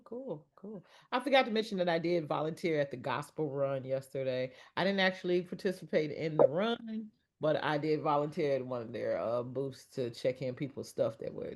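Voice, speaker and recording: A woman in her fifties co-hosting a casual talk show, lively and quick to laugh, with folksy phrasing. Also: sits low. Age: 50s. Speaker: podcast co-host. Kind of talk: casual chat.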